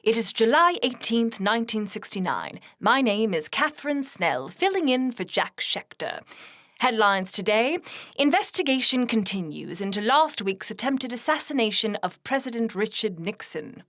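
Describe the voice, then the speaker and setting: A woman's voice: fairly high pitch, sing-song, a bit bright, dry recording, studio quality. A woman playing a composed, formal 1960s radio news anchor in a scripted drama. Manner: measured, formal newsreading.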